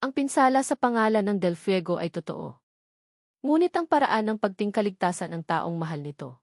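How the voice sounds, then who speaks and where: A woman's voice: medium pitch, very animated, normal speed, a little mellow, no echo, clean studio sound. A woman reading a scripted romantic drama audiobook as its narrator.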